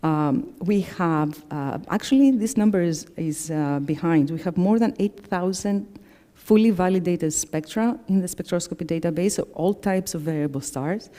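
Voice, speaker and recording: A middle-aged woman from Greece, whose first language is Greek, giving a measured presentation to members with frequent fillers and self-corrections. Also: on the low side, mellow. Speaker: executive director presenting annual report.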